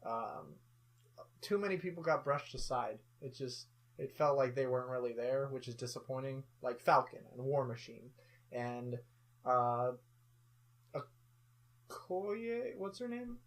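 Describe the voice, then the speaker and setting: A man co-hosting a relaxed movie review, talking in an everyday mid-pitched voice. His tone stays jokey and loose. Now and then he drops into exaggerated impressions to poke fun at the characters. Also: unhurried. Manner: jokey, slips into mocking silly voices for characters. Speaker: co-host of a movie review show. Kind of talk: casual film review chat.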